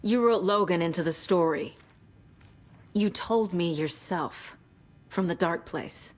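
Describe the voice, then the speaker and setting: A woman's voice: fairly low pitch, slow. An actress playing a determined FBI agent and fiercely protective mother in a video game, speaking tersely and intensely. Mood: angry, demanding. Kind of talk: dramatic game acting.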